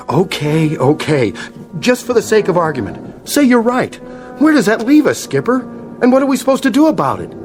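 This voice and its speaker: Skeptical airliner crewman, fairly high pitch, wry, questioning, audio drama, music behind. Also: sing-song, normal speed.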